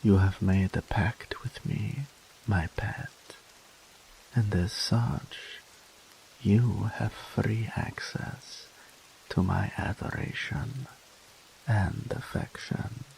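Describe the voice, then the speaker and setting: A man voicing a doting, possessive male incubus demon in an ASMR roleplay audio, speaking softly and slowly in a whispery, pampering way. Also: fairly low pitch, steady tone, gravelly, slight background noise, exaggerated voice, low-quality recording. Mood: doting, tender. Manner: soft, slow, whispery and pampering.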